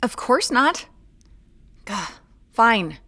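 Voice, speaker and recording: Guarded, wry amateur detective. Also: female voice, fairly high pitch, very animated, very slow, sharp tone, heavily acted. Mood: reluctant, focused. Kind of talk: naturalistic audio drama acting.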